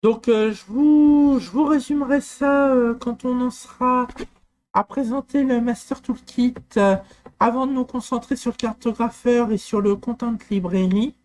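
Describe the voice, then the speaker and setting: A man from France talking through a software walkthrough, hesitant with frequent fillers and restarts. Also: very high voice, unhurried. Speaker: software tutorial presenter. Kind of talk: screen-recorded walkthrough.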